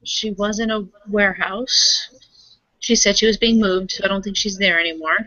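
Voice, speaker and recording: Bright-voiced woman playing a tabletop game. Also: medium pitch, fairly even intonation, normal speed, no room sound, highs cut off. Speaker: tabletop roleplaying player. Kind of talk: casual in-character game dialogue.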